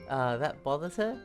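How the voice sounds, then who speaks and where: A woman's voice: on the low side, creaky. A woman giving casual, amused commentary as she plays through a game. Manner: amused, giggly. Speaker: game streamer. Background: game music.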